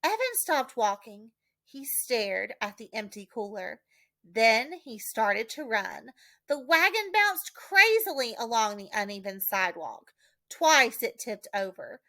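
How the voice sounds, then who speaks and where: Woman, storybook narration, highish lively pitch, dramatic emphasis, dry close sound. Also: a little slow, no echo. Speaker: audiobook reader, teacher. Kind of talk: expressive children's storybook narration.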